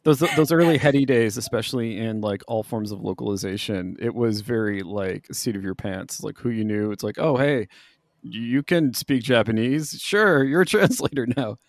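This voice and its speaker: A man's voice: medium pitch, normal speed, dry recording. A man co-hosting a podcast, asking loose, rambling questions in a casual, conversational way. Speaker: podcast co-host.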